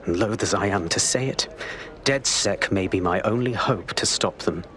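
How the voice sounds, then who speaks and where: An actor playing a wary intelligence officer in a video game, speaking in a terse, formal, guarded way. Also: male voice, lowish voice, moderate pace, a bit bright, slight background noise, natural voice. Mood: guarded, grave.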